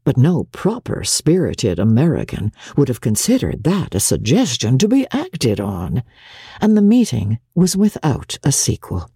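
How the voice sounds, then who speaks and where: A woman reading a classic novel aloud as a dramatic audiobook narrator, in a measured, expressive way with deliberate phrasing. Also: extremely low pitch, gravelly, husky, no echo, clean studio sound.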